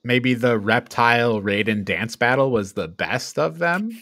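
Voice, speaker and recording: A man co-hosting a casual film review chat, speaking in a halting, exasperated way. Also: mid-range voice, animated, a bit bright, no room sound. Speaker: podcast co-host reviewing films.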